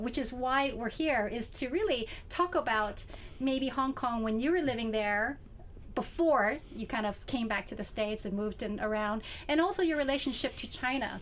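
A woman's voice: a little high, slight background noise. A woman interviewing guests on a radio show, asking long, thoughtful questions with many fillers.

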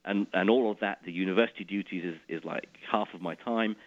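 Low-voiced middle-aged guy from iraq, physicist guest, keeps restarting words, muffled radio sound. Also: fairly quick. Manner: restarts and repeats words while explaining. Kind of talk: explanatory interview talk.

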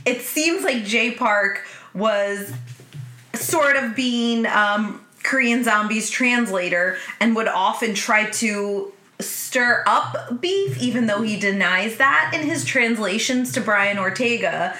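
A woman's voice: fairly high pitch, lively intonation, slow pace, bright, forceful tone, reverberant. A woman co-hosting a casual podcast, teasing her partner and laughing easily as she fills in the backstory. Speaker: podcast co-host.

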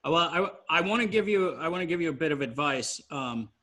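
A man's voice: fairly high pitch, animated, fairly quick, pushed and bright. A man hosting a casual podcast, teasing his guest with crude banter. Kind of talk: casual chat.

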